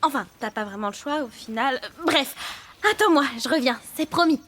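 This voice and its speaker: A woman's voice: high-pitched, animated, brisk, fairly bright tone. A woman voicing a jittery, bewildered cartoon newcomer who talks in a hesitant, uneasy way. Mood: hesitant, guilty. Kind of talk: cartoon dubbing.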